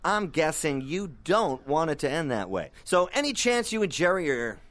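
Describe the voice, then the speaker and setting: High-voiced, animated sardonic radio host. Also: male voice, normal speed. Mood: wry, brisk. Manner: sarcastic, teasing, theatrical. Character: cocky, sardonic male internet radio host, showman. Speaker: host of an internet radio show. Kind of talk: radio show patter in an audio drama.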